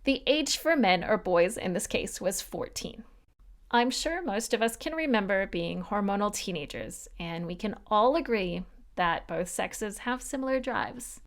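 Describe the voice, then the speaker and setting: A woman host narrates history from a script at a middle pitch, delivering it evenly. She slips in wry, dry asides to the listener as she goes. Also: expressive, measured pace. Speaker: podcast host.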